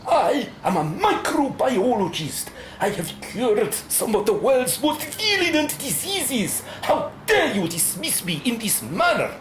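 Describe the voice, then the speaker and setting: A woman's voice: on the high side, very animated, a little slow, few pauses. A woman playing a haughty, formal foreign scientist with a sinister streak, theatrical and grandiose, in a radio play. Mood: affronted. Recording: roomy echo, music underneath.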